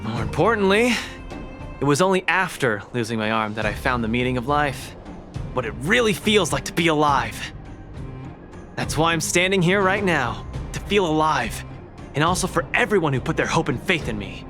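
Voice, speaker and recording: Cheerful young fighter, very animated. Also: male voice, fairly high pitch, moderate pace, over music. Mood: earnest. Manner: upbeat, breezy, eager. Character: cheerful, cocky, earnest young male fighter. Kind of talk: video game voice acting.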